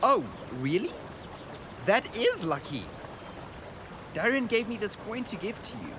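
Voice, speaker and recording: A man acts a wonder-struck young boy on a journey in a radio drama. His voice is fairly high and very animated, earnest and descriptive as he records an audio diary. Clear outdoor noise of water and vehicles sits around him. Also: measured pace. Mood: earnest, grateful.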